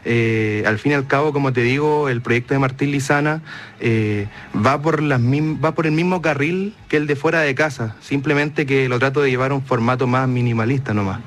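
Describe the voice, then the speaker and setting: A man's voice: mid-range voice, normal speed. A man with a Chilean accent, a songwriter giving modest, casual answers in an interview. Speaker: singer-songwriter, interview guest.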